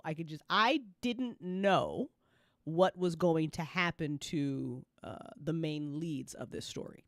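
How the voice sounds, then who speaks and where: A woman's voice: deep voice, no room sound, clean studio sound. A woman hosting a casual after-show discussion, talking in a teasing, informal and sometimes profane way. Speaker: host and story creator.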